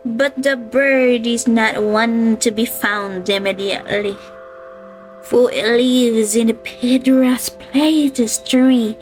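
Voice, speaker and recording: Woman playing a solemn, slow-spoken royal healer. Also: a little high, low-quality recording. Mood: earnest, cautioning. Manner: formal, earnest, measured. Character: solemn, deferential royal healer advising a queen. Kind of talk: radio play acting.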